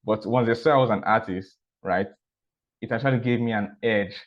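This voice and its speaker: A man sharing personal stories in a measured, casual way, often repeating words and asking "right?". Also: lowish voice, fairly even intonation. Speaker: art teacher and architecture student. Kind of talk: casual talk. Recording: dull, muffled recording.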